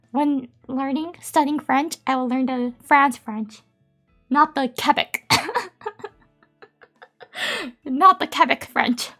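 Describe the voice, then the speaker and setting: A woman with a high voice and a gravelly edge chats casually on a live stream, giggling often and filling pauses with "um" and "like". She is a native Japanese speaker. Faint music plays behind her. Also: pushed and bright. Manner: chatty, giggly, many fillers.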